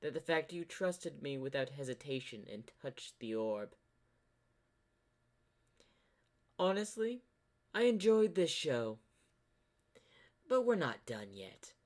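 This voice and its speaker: An ancient corrupted zebra spirit, acted out by a man for a fan cartoon, sly and manipulative. He drawls at a very unhurried pace, theatrical and teasing, as if bored by everyone. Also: a little high, very animated, a little mellow. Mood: gleeful, taunting. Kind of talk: fan-made fantasy cartoon voice acting.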